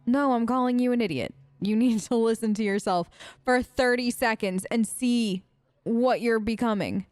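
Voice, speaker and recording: Expressive high-voiced woman gamer. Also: normal speed, dry recording, clean studio sound. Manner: chatty, expressive, exasperated reactions. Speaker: gamer, let's player. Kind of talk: in-character role-play game commentary.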